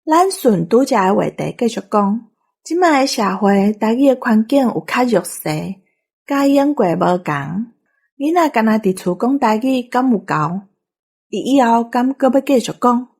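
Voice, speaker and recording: A woman host with a Taiwanese accent leads a discussion. Her voice is fairly high and a little husky. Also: expressive, moderate pace, darkish tone.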